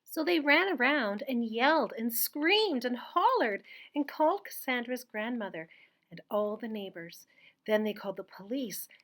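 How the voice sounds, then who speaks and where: Lively, higher-voiced woman reading to children. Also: normal speed. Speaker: teacher co-reading a picture book. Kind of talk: children's storybook read-aloud.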